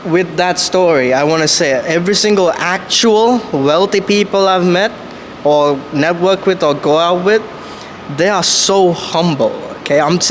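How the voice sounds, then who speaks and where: A man from Sri Lanka with a slightly high voice tells his story in casual podcast chat. He speaks earnestly and slangily. A faint ambient noise sits behind him. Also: rough recording. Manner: earnest, slangy, says "bro" and "you know". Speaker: podcast guest.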